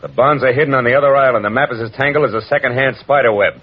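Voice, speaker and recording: An American actor playing a tough, wry private detective in hard-boiled 1940s radio style, clipped and world-weary. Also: male voice, medium pitch, rapid-fire, slightly rough, close to an everyday voice. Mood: firm, brusque. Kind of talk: 1940s radio acting, hard-boiled first-person narration. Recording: dull vintage radio recording with music.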